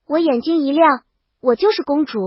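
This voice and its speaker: A woman's voice: high-pitched, moderate pace, no room sound, dull audio. A woman reading a scripted first-person comic story as its brash, exasperated heroine. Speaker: audio story narrator.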